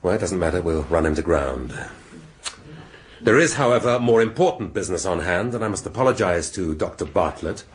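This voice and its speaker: An actor playing a shrewd middle-aged English police inspector in a radio drama, speaking deliberately and rhetorically with dramatic pauses. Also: male voice, low voice, lively intonation. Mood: commanding. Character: shrewd, theatrical male police inspector laying out a murder case.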